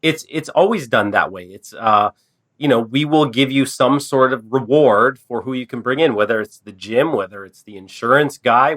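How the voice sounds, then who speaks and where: A man's voice: medium pitch, fairly bright tone. A Canadian man hosting a casual business podcast, a little stuffed up from a cold, talking loosely with fillers and restarts. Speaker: podcast host.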